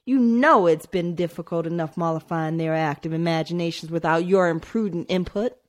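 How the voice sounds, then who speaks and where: A woman voicing an anxious wealthy mother who forces composure, her voice strained and shrill. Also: a little fast, close to an everyday voice. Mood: dry, firm. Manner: shrill and strained, sharp when scolding.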